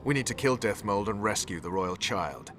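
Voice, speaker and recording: An actor playing a hot-headed, battle-hardened commando leader in a video game, with a blunt, urgent manner. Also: male voice, fairly low pitch, normal speed, fairly bright tone, a little hiss or hum, close to an everyday voice. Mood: resolute.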